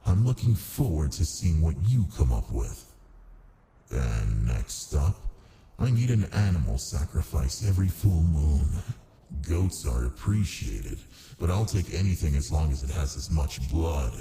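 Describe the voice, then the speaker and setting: A man playing a massive ancient male demon in an ASMR roleplay, smug and amused, with a smooth, politely menacing, domineering way of talking. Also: a bass voice, big pitch swings, measured pace, warm tone, a little hiss or hum, full character voice. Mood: matter-of-fact. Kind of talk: ASMR roleplay, close and unhurried.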